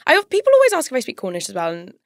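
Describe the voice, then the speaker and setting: A woman's voice: on the high side, wildly swooping pitch, racing, dry recording. Chatty young woman from Cornwall, dry sound. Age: young adult. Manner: chatty, fillers with "like", upbeat. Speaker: student host. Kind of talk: casual chat.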